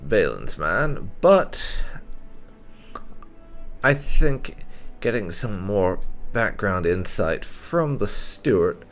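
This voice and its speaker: A man hosting a game playthrough in a casual, sardonic way. His voice is low and gravelly, unhurried, with music faintly behind. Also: very animated. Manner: wry, sardonic. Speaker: gaming video host. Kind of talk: casual roleplay game commentary.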